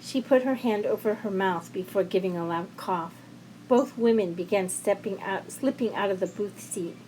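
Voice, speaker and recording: A woman narrates a scripted audio story. Her pitch is in the middle range for a woman. A faint ambient noise runs behind the reading. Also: moderate pace, a little mellow, poor audio.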